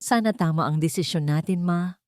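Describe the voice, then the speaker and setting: A woman's voice: fairly low pitch, normal speed, a little mellow, dry recording, natural voice. A woman plays an obedient adult son in a storybook drama. He sounds doubtful and deferential toward his elders. The sound is dry, with little room. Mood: worried. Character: hesitant, obedient adult son of a domineering mother. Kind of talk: audio storybook drama dialogue.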